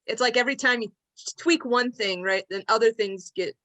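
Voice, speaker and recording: A woman's voice: on the high side, brisk, sharp tone. A woman screenwriter talking casually as she responds to feedback on her work. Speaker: screenwriter receiving notes. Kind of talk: casual discussion.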